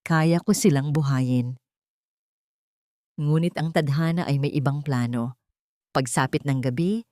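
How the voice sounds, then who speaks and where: Low-voiced woman narrating dramatic fiction. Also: dark tone, dry recording, clean studio sound. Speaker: audiobook narrator. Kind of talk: dramatic scripted story narration.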